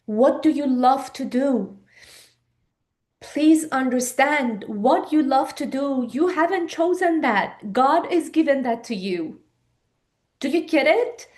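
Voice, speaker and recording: Woman coach in her fifties, a bit high and mellow, earnest and pressing. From Iran. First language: Persian. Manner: earnest, urging, rhetorical questions. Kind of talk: live online coaching talk.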